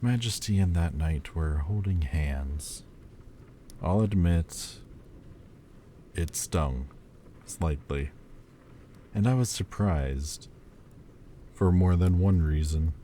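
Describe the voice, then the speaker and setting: A man voicing the male Lord of Demons in an audio roleplay, teasing and playful, turning halting and hesitant. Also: sits low, animated, dark tone, slight background noise. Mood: wistful. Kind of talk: listener-directed audio roleplay.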